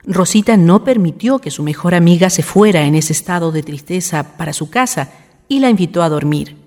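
A woman's voice: fairly low pitch, lively intonation, fairly quick, a little mellow. A woman with a Chilean accent reading scripted narration for a radio drama. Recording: music bed behind narration.

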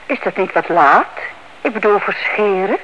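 An actress playing an inquisitive, stubborn elderly aunt in a Dutch radio play, politely pressing with questions. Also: female voice, medium pitch, lively intonation, normal speed, natural voice. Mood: prying, polite. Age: older. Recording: dull, noisy old radio recording.

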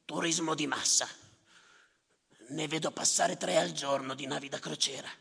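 An actor playing a bitter, sardonic general who tallies the dead, declaiming in a rasping theatrical monologue with repeated phrases. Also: male voice, a little high, sharp tone, exaggerated voice. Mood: bitter grumbling. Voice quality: gravelly, rasping. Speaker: stage actor performing a monologue. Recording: reverberant theatre hall.

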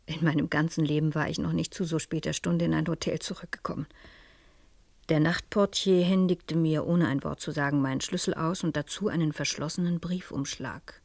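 An actress playing a composed, persuasive French madame who speaks and narrates in a measured way in a radio crime drama. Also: female voice, deep voice, fairly even intonation, vocal fry, a little mellow. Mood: reflective narrating. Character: composed, persuasive French madame recounting her own mystery.

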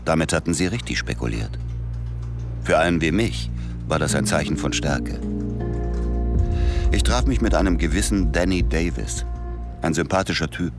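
An actor playing an observant, reflective man who narrates in the first person in a radio drama, measured and matter-of-fact. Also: extremely low pitch, music in the background. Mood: reflective, detached. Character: observant, reflective man recounting his recruitment.

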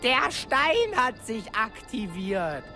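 A cheeky little imp of a servant in a radio play. He is high and creaky, swooping about with impudent, mock-courtly exasperation. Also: big pitch swings, slow pace, pushed and bright, soft background music, heavily acted. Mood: impatient, exasperated. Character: cheeky, impish little male magical servant creature. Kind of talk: German radio drama acting.

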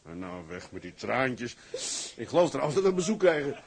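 A hearty young sea captain. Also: male voice, mid-range voice, big pitch swings, fairly quick, slight background noise. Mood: tender, relieved. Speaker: radio drama actor. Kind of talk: Dutch radio drama dialogue.

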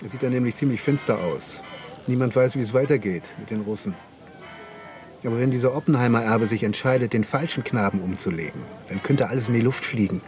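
An actor playing a cynical, quietly menacing intelligence agent in a radio crime drama, dry and insinuating. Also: male voice, mid-range voice, brisk, faint music behind, close to an everyday voice.

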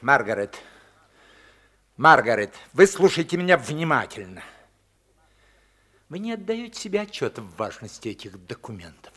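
A man's voice: medium pitch, very animated, moderate pace, pauses often, bright, forceful tone. A man acting in a radio play as a domineering, wealthy older father who questions his household in a commanding, clipped way. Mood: urgent, insistent.

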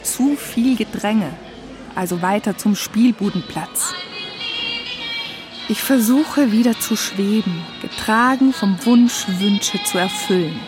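A woman's voice: mid-range voice, slow pace. A woman giving reflective first-person narration and chatting in the street, with a playful, poetic manner. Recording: music and street sounds behind.

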